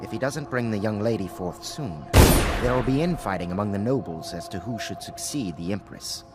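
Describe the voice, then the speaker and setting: An actor playing a disdainful, scheming aristocrat in a video game, with a formal, deliberate, haughty delivery. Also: male voice, on the low side, expressive, loud music behind the voice, lightly acted. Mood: scornful. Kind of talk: scripted game dialogue.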